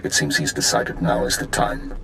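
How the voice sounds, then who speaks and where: An actor playing a stern intelligence chief in a video game, giving orders in a formal, authoritative way. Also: male voice, extremely low pitch, normal speed, gravelly, bright, forceful tone, slight background noise, heavily acted, poor audio. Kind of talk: dramatic video game acting.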